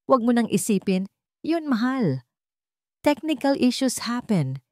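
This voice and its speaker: A woman voicing a smooth-talking, boastful rich man who hides his desperation from his fiancée. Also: a little high, very animated, unhurried, a little mellow, no room sound, studio quality, voice pushed up. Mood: suave, reassuring.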